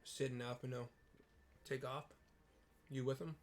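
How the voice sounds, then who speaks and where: A man's voice: mid-range voice, steady tone, a little slow, a little hiss or hum. A man narrating video game cutscenes in an informal, improvised, colloquial way, as audio description.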